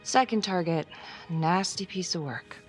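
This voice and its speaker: A woman's voice: fairly low pitch, a little slow, close to an everyday voice. A woman acting a weary, guarded and tough homicide detective in a crime game, speaking bluntly in a naturalistic style. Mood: grim, matter-of-fact. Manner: blunt, swearing, world-weary. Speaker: video game actor. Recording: music under the dialogue. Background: background music.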